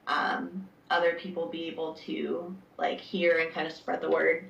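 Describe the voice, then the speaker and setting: A young woman from New Jersey chats casually as a musician guest, with plenty of fillers and an upbeat tone. Her voice sits low with a gravelly fry, and it comes through a roomy, distant, dull-sounding line. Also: monotone, unhurried, a bit bright. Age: young adult. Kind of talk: remote interview. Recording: echoey distant lo-fi call audio.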